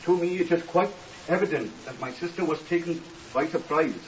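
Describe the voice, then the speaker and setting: An actor playing an earnest, stiffly formal young Welsh scholar in a 1950s radio drama, polite and deferential with singsong phrasing. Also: male voice, a little high, fairly quick, recorded from a distance, lightly acted. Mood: earnest, insistent. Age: young adult. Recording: muffled hissy old radio recording, off mic.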